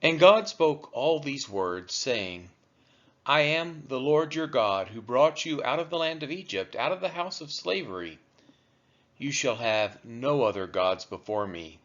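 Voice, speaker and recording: A man reading scripture aloud as a narrator for a church read-along, in measured, deliberate phrasing. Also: medium pitch, animated, a bit bright. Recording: dull, lo-fi recording.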